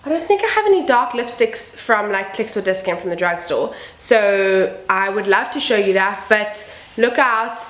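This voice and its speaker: A woman vlogger talking casually through a makeup tutorial, a little croaky and sniffly from a cold. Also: medium pitch, animated, moderate pace, fairly bright tone, roomy sound. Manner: chatty, upbeat, self-deprecating asides. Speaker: beauty vlogger. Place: home room with building noise outside.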